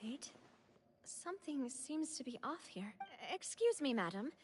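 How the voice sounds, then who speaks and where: High-voiced, thoughtful young lady. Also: big pitch swings, unhurried. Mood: pensive, polite. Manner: polite, hesitant, musing. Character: polite, thoughtful young noblewoman, gentle-tempered. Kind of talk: video game voice acting.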